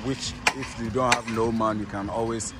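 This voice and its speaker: A man's voice: mid-range voice, steady tone, normal speed, loud music behind the voice. A man with a Ghanaian accent casually explaining things as a tour guide, often repeating and rephrasing himself. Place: outdoors at a busy bus station. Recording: outdoor street noise. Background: street and vehicle noise.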